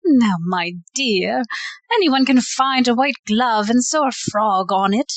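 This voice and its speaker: Woman playing an unhurried, genteel lady. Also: fairly high pitch, animated, dry recording. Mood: composed. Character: genteel, coy, self-possessed lady. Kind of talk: comedic audio play acting.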